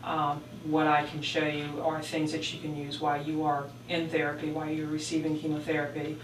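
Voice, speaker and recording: A woman's voice: low voice, completely flat delivery, a little fast, background noise, close to an everyday voice. A woman playing an earnest yoga therapist explaining her practice in an amateur role play. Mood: earnest, explanatory. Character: earnest female yoga therapist for cancer patients.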